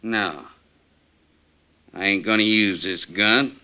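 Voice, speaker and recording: An actor playing a violent, threatening outlaw gang boss in a 1950s radio western, with rough, drawling frontier speech. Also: male voice, on the low side, takes it slowly, sharp tone, muffled recording, full character voice. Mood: furious, threatening. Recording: noisy, clicky, band-limited old radio recording.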